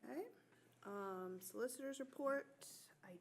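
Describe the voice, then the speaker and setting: A woman chairing a borough council meeting, reading through the agenda item by item in a brisk, matter-of-fact way. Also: fairly high pitch. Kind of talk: running a public meeting agenda. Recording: noisy meeting room audio.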